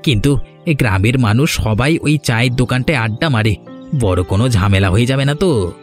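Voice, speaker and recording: A man's voice: mid-range voice, lively intonation, brisk, faint music behind, lightly acted. A man playing a sly, obsequious henchman of a village landlord in a cartoon, deferential and needling. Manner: deferential to his boss, needling. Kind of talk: Bengali cartoon voice acting.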